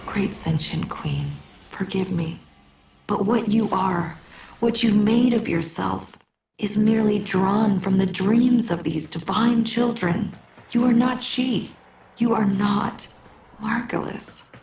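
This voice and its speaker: A woman playing a devout, mystical cult guardian in a game, solemn and incantatory with a breathy, creaky voice. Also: medium pitch, slow pace, a little mellow. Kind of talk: dramatic game voice acting. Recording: echoey reverb with prominent music behind.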